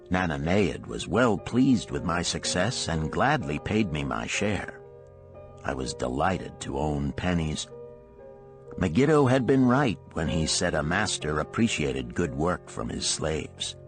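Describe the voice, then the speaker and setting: A man reading a story aloud as scripted audiobook narration. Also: low voice.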